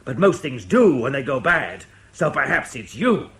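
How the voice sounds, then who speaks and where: A man's voice: medium pitch, very animated, normal speed, crowd noise, close to an everyday voice. A man playing a blunt, commanding interrogator who presses and accuses, in a British radio drama. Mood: accusing. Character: blunt, pressing male intelligence interrogator in charge.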